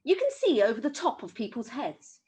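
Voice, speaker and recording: A woman voicing a kindly, patient gentleman from a children's storybook. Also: on the high side, wildly swooping pitch, normal speed. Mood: kindly explaining.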